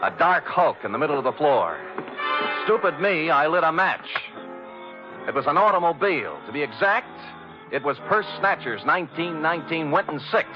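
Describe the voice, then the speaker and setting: Wry radio private eye, lively pitch. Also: male voice, normal speed. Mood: tense, wry. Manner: wry, mock-formal, deadpan. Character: wry hard-boiled male private detective, glib and self-mocking. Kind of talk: 1950s radio detective narration, scripted. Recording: dull old radio recording with music.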